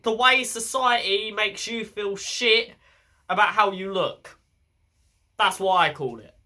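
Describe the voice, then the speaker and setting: A young man with a blocked, croaky voice from a head cold, talking bluntly and chattily as a self-help vlog host. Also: very high voice, pushed and bright. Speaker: channel host.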